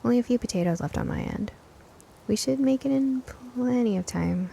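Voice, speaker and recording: A woman's voice: a little high, fairly quick, creaky, mellow, a little hiss or hum, pitched above the performer's own voice. A woman voicing a stubborn, caring young girl in an audio drama, earnest and eager with a sarcastic streak. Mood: wry, confident.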